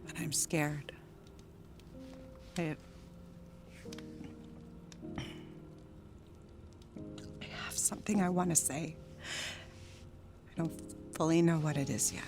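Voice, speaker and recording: An actress playing an emotionally volatile, lonely, newly sober wife in a theatrical audio drama monologue. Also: female voice, deep voice, fairly even intonation, takes it slowly, long pauses, over music. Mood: scared, hushed. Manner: theatrical, swinging between outbursts and confiding murmurs.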